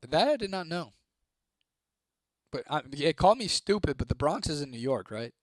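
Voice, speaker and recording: A young man from Ohio, chatting casually on a live stream, laid-back and swearing freely, his voice a little hoarse. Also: fairly high pitch, sing-song, normal speed, no room sound. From Toledo, Ohio, United States. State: voice getting hoarse. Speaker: livestreamer. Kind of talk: casual live-stream chat reading comments.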